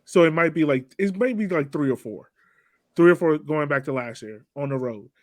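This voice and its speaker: A man's voice: on the high side, expressive, moderate pace, no room sound, clean studio sound. A man chatting as a podcast panelist about a soccer game, conceding points and then reasoning out his own view. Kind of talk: casual post-game sports discussion.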